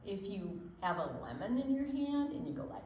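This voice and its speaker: An older woman co-hosting a children's storytime, explaining and reading aloud deliberately, with emphasis on single words. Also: medium pitch, very animated, darkish tone, muffled recording, a little hiss or hum. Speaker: children's storytime co-host and reader.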